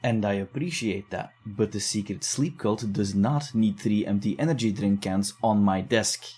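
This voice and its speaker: A man playing a dry, deadpan skeptic. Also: on the low side, normal speed, husky. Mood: grumbling. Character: wary sarcastic young man, reluctant investigator. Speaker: voice actor. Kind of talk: audio drama acting.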